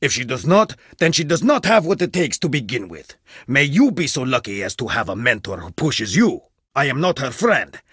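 A man's voice: mid-range voice, bright, forceful tone, slightly rough, no room sound, clean studio sound, exaggerated voice. An actor playing a stern, scornful male archaeologist in a video game, harsh and commanding as he scolds his student. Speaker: archaeologist mentor.

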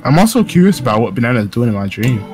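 Excitable male gamer narrating casually with friends, animated pitch, swears freely, game music underneath. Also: very fast. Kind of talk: casual group playthrough, reading narration aloud.

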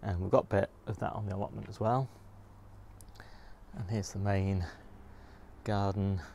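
Low, gravelly man. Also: steady tone, moderate pace, warm tone. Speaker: gardener giving a garden tour. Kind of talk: casual unscripted vlog narration while walking. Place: outdoors in a home garden. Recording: clear outdoor background noise.

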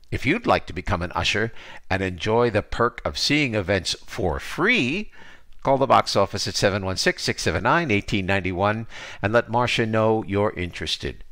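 A man's voice: on the low side, a bit bright. An older man with an American accent, speaking as the host of an arts podcast. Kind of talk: scripted podcast announcement.

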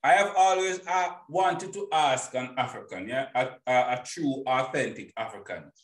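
A man's voice: mid-range voice, moderate pace. A middle-aged man hosts an informal conversation in an opinionated, emphatic way. He repeats words to drive points home, and his microphone picks up a roomy echo. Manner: emphatic, repeating himself for stress. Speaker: interview host. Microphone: boom-arm microphone, headphones.

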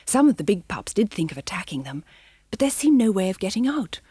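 A woman's voice: mid-range voice, big pitch swings, brisk, mellow, husky, no room sound, studio quality. A woman reading a storybook aloud and voicing a brave young male puppy who speaks earnestly to his father.

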